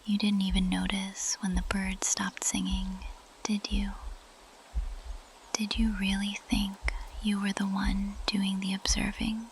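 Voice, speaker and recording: A woman voicing a possessive, seductive carnivorous plant monster in an ASMR roleplay, in a breathy, teasing and menacing whisper close to the microphone. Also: medium pitch, a little hiss or hum, rough recording. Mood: mocking, condescending. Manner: slow, teasing, menacing purr.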